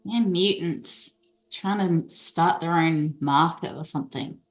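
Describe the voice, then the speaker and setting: A woman's voice: on the low side, takes it slowly, faint music behind. A woman chatting casually as a player in a tabletop role-playing game.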